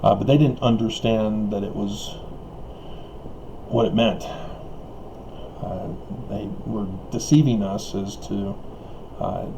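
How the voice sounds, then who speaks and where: Slow low-voiced man losing his voice. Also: steady tone, vocal fry, background noise. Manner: chuckles often between remarks; hesitant, many fillers and restarts. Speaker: online video commentator. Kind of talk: rambling solo monologue.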